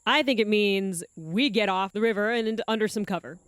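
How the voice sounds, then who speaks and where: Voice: medium pitch, fairly quick, a bit bright, clear, resonant tone, no room sound. A player in a tabletop game speaking in character with confident, persuasive talk. The recording is close and dry, with little room sound.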